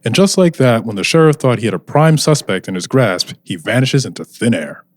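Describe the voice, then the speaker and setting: A man's voice: medium pitch, expressive, brisk, no room sound, lightly acted. A man playing the dramatic narrator of a scripted audio serial, building suspense. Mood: suspenseful.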